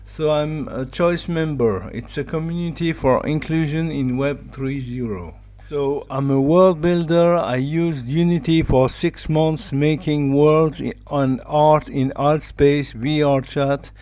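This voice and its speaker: Man, fifties, French accent, German first language, slow, somewhat high voice, reading a personal pitch. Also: darkish tone. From France. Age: 50s. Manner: slow, simple sentences in a second language. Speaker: digital artist. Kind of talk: personal pitch, read aloud.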